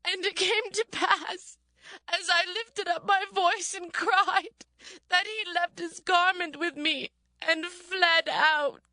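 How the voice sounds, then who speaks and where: A woman playing a scheming, seductive master's wife in a dramatised scripture reading, with a deliberate theatrical delivery. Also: very high voice, fairly even intonation, sharp tone, no echo, exaggerated voice. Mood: accusing.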